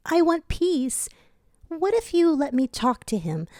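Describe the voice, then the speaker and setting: A woman voicing a gentle, peace-loving female spirit who pleads softly in an audio story. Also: sits high, big pitch swings, a little slow, no echo, clean studio sound, voice pushed up. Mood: pleading.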